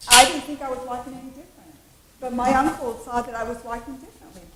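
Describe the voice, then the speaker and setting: Woman, high voice, lively, echoey hall, background noise. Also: fast talker. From Okinawa, Japan. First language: Japanese. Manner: animated, laughing, repeats words. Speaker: audience member. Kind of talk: storytelling from the audience. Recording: echoey room with clear noise.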